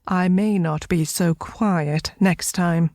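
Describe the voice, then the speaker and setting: Lady playing a no-nonsense alien crew gal, kinda low voice, snappy and scolding, very dry audio, space drama. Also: steady tone, takes it slowly, a little mellow, no room sound. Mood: indignant. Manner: curt, scolding, emphatic. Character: indignant, no-nonsense female alien crewmate.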